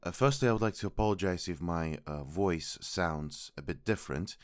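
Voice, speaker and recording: Deep-voiced guy w a sore throat hosting his podcast, polite, lots of uhs, smooth tone, dry close sound. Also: no room sound. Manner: formal and polite, halting with frequent fillers. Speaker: podcast host. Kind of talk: podcast intro monologue.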